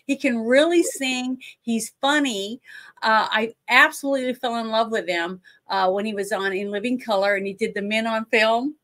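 Blunt woman with a deep voice. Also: normal speed, fairly bright tone. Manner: blunt, opinionated, laughs often. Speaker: co-host. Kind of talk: casual movie-review chat. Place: indoors at home. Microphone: webcam on a video call.

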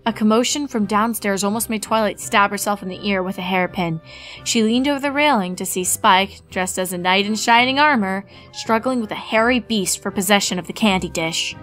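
A female narrator reads a scripted story. Her pitch is average for a woman, and quiet music plays behind her. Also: fairly quick.

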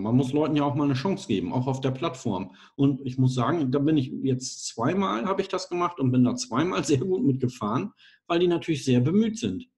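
A man's voice: medium pitch, darkish tone. A middle-aged man presenting a casual screen-share tutorial on a video call, chatty and self-deprecating, thinking aloud with fillers. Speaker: online course presenter.

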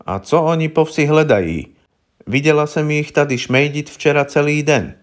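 A man voicing a wary, gruff old village herbalist woman in an audiobook, with blunt, knowing, rustic colloquial speech. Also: a little high, voice pushed up, natural voice. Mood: suspicious. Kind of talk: audiobook character voice.

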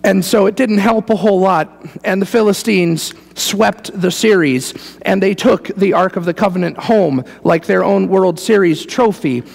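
A man's voice: high voice, measured pace, creaky. A middle-aged American man preaching a sermon in a wry, folksy, conversational way, in a large reverberant church. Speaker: pastor preaching. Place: large church sanctuary, indoors, standing before a congregation.

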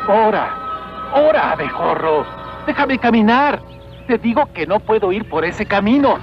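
Humble high-voiced peasant man. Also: lively intonation, unhurried, over music. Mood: hurried. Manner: deferential, earnest. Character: humble, devout young peasant man, earnest and obedient. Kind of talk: dubbed cartoon acting. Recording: muffled old cartoon soundtrack.

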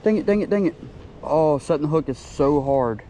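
A young man filming a solo fishing vlog outdoors at a lake with a chest-mounted action camera, excitably talking to the fish as he reels them in. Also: fairly high pitch, normal speed, slight background noise, rough recording. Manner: excitable, talks to the fish while reeling. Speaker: fishing vlogger. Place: outdoors by a lakeside.

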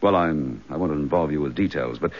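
An actor playing an embattled, indignant British police chief inspector in a radio drama, clipped, forthright and sardonic. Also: male voice, extremely low pitch, brisk. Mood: determined. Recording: slightly dull radio drama recording.

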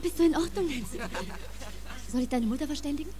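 A woman playing a proper high school English teacher in a radio play, instructing in a declaiming manner. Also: fast talker, close to an everyday voice. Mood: concerned, insistent.

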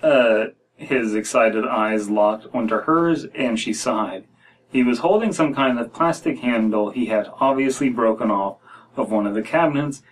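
An American man with a mid-pitched voice reads stories aloud in a loose, casual way. He often stumbles and wanders into asides. He sounds a little way back from the microphone in a room. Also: measured pace. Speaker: podcast host reading stories aloud. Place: small room at home, indoors. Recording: distant mic in a room.

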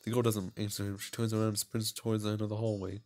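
A man with a fairly low voice narrates a visual novel. He reads casually and drops in his own comments, stumbling and restarting as he goes. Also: flat delivery, darkish tone. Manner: halting, stumbles and restarts while reading. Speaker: video game player and narrator.

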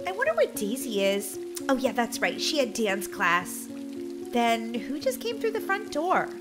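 A woman voicing an earnest, gently dramatic mother in a children's roleplay story. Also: fairly high pitch, wildly swooping pitch, measured pace, music in the background. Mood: puzzled.

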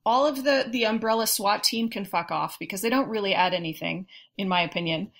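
A woman speaking as a podcast panelist, giving articulate, opinionated and measured critique in a casual discussion. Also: medium pitch, fairly bright tone.

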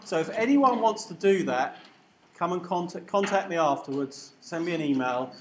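A man's voice: high-pitched, lively intonation, moderate pace, reverberant, a little hiss or hum. A man lecturing informally to a class. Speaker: university lecturer. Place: lecture hall.

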